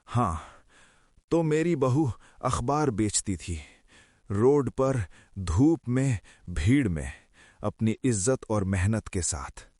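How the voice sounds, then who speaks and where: Cartoon role: proud, tipsy businessman father-in-law, played by a man; emphatic speechmaker, deliberate pacing, animated pitch. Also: breathy edge, no echo, clean studio sound. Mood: tipsy, insistent. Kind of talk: animated story drama acting, speechmaking.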